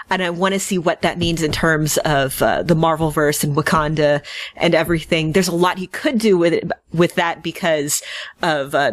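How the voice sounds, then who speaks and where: A woman's voice: deep voice, no echo. Enthusiastic woman chatting casually, dry close sound. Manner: enthusiastic, digressive, wry. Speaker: podcast co-host. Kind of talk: casual fan news chat.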